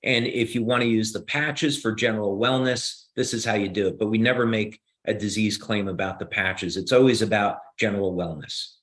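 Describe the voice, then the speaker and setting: A man with a New Jersey accent explaining science on a video call, measured and steady, with frequent "uh" fillers. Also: fairly low pitch.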